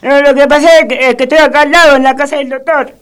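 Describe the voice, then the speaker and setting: A man's voice: very high-pitched, fairly even intonation, fast, fairly bright tone. A man playing a sly, hungry young boy with an Argentine accent, stammering excuses in a comedic radio play. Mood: sheepish, evasive. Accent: Argentine Rioplatense. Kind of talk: comedic radio theatre acting.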